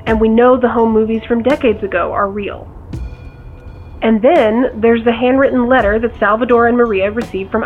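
A woman playing a skeptical, reasonable confidante in an audio drama, measured and wry, heard through a phone filter. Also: fairly high pitch. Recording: muffled phone-like line, music behind.

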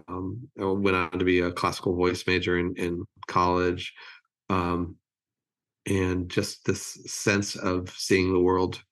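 An American man in his fifties with a very low, gravelly voice. He chats casually as an interview guest, often pausing thoughtfully in the middle of a sentence. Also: fairly bright tone, poor audio. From California, United States. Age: 50s. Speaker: interview guest, creative agency chief.

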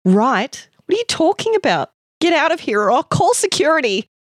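A woman acts out a nervous but indignant female story character. She is incredulous and sarcastic, pitched up from an everyday voice. The sound is close and dry. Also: very animated, moderate pace, no room sound. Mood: alarmed. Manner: incredulous, stammering when startled, scoffing. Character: anxious, indignant, sarcastic young woman named Amanda.

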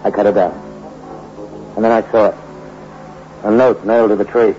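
A man's voice: fairly low pitch, fairly even intonation, normal speed, close to an everyday voice. An actor playing a tough, greedy fugitive who tells his crime tale in a hard-boiled, slangy 1960s radio suspense style. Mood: grim, suspenseful. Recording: dull old radio recording with music.

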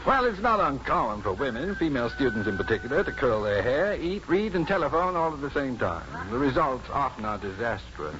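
Actor as a self-assured radio-drama doctor, muffled recording. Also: male voice, mid-range voice, big pitch swings, a little fast, hardly any pauses, noisy background, natural voice. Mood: dryly teasing. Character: self-assured, compassionate male physician, dryly witty. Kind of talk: 1950s radio drama acting.

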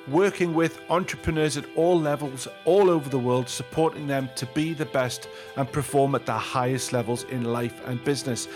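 A man hosting a podcast, reading a polished, upbeat intro and then interviewing a guest. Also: on the high side. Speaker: podcast host and performance coach.

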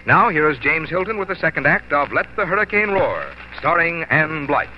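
A man's voice: fairly high pitch, expressive, moderate pace, over loud music. A man reading a scripted commercial as a 1940s radio announcer. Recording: muffled old radio broadcast, faint noise.

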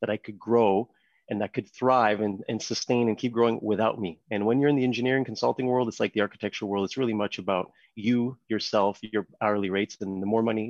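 A man in his thirties from Montreal with a French Canadian accent, whose first language is French, telling his story as a podcast guest with relaxed ums and restarts. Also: on the low side, no echo, highs cut off. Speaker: podcast guest, company co-founder. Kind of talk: casual interview talk.

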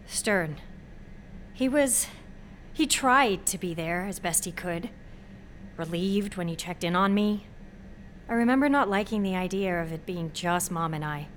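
A woman's voice: lowish voice, measured pace, long pauses, slight background noise, lightly acted. An actress playing a sheltered, anxious young female college student who speaks candidly in a naturalistic audio drama. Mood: anxious, confiding. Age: young adult.

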